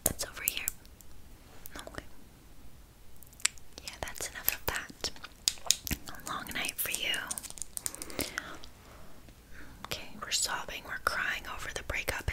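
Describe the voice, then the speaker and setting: A woman playing a flaky, passive-aggressive witch in an ASMR roleplay, speaking in a soft, breathy whisper with casual, deadpan patter. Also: poor audio. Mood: distracted, mock soothing.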